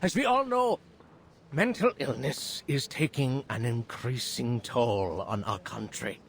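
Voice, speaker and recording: Pompous game doctor presenting to a crowd, formal and theatrical, voice goes way up and down. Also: male voice, medium pitch, lively intonation, measured pace. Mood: grand, showy. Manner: grandiloquent, formal. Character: showy male physician presenting a demonstration to an audience. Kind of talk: theatrical public address. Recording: clear background ambience noise.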